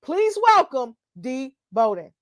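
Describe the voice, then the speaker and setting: A woman hosting an online event, excited and whooping as she brings in the guests. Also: high voice, slow. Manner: excited, whooping, hypes up the crowd. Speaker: event host.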